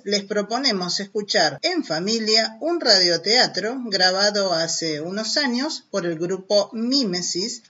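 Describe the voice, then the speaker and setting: A woman's voice: medium pitch, sharp tone, highs cut off. A woman presenting a radio programme from a script. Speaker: radio host. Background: music.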